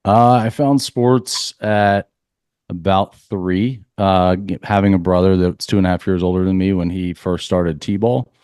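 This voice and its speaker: A man from Central Florida, a leadership coach for athletes, talking calmly and earnestly in a reflective podcast interview. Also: low voice, unhurried, clear, resonant tone, dry recording, studio quality. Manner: calm, earnest, spiritual phrasing.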